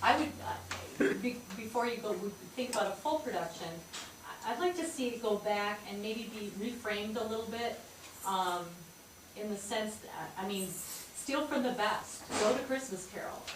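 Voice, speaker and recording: Female audience member thinking aloud in critique, hesitant, distant mic with clear background noise. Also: medium pitch, moderate pace. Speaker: audience member giving feedback. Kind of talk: informal spoken critique. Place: indoor theatre audience. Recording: echoey theatre, distant mic, noisy.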